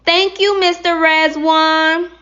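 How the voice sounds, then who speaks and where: A woman voicing an earnest schoolchild in a classroom play, with exaggerated, clearly enunciated delivery. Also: very high-pitched, level tone, slow pace, sharp tone, voice pushed up, exaggerated voice. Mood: eager. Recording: slightly dull lo-fi recording.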